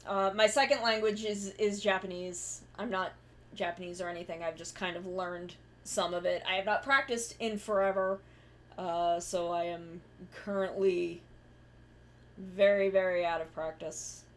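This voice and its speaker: A woman's voice: fairly low pitch, fairly even intonation, moderate pace. Rambling woman chatting while drawing. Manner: rambling, self-correcting, casual swearing. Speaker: streamer. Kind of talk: casual chat while drawing.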